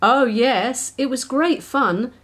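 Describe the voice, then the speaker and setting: A woman voicing an eager, excitable little schoolboy in a children's storybook, calling out. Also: high voice, takes it slowly, voice pushed up, exaggerated voice. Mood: pleased.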